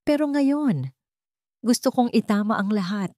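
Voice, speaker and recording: A woman's voice: on the high side, expressive, a little mellow, dry recording, studio quality, pitched above the performer's own voice. Woman voicing an earnest, lovestruck young man proposing. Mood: solemn, tender. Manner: tender, solemn, pausing between short phrases.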